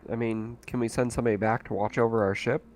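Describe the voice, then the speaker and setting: A man's voice: mid-range voice, steady tone, fast, a little mellow. A man with a mid-range voice takes part in a tabletop roleplaying game. He chats casually, often in character, over faint noise and clicks.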